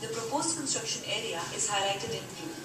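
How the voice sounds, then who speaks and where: A woman from India reading a scripted project video narration in an even, formal way. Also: on the high side, fairly quick, very echoey, recorded from a distance, loud music behind the voice. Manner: reads evenly, careful and formal.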